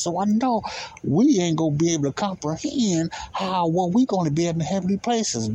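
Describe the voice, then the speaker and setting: A man's voice: a little high, a little mellow, husky, low-quality recording. A man teaching the Bible in an informal sermon, speaking emphatically, with repetition and rhetorical questions.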